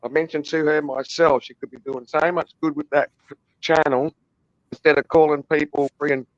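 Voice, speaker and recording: A man's voice: a little high, level tone, normal speed, no room sound, muffled recording. A man in heated casual chat, indignant and complaining at length, swearing often.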